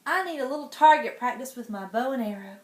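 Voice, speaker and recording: A woman's voice: on the high side, animated, fast, echoey room, close to an everyday voice. A woman voicing a spoiled, mean young duke in a storybook reading. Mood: mean, taunting. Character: spoiled bratty boy duke, whiny.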